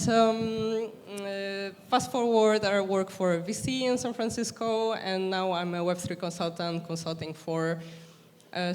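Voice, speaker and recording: A woman's voice: on the low side, darkish tone. Hesitant woman moderating a panel. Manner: hesitant, many fillers. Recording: hall reverb.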